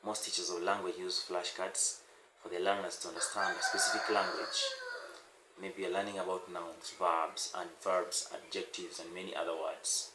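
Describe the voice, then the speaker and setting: A man's voice: low voice, level tone. Swahili-speaking man teaching in an echoey room. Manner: unscripted, repeats words and pauses with many "uh"s. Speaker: language teacher. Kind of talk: casual spoken lesson to camera. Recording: echoey room with faint noise.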